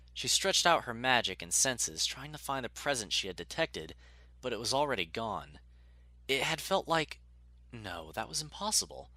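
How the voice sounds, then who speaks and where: Low, forceful male story narrator. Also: no echo. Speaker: audiobook narrator. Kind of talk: scripted dramatic story narration.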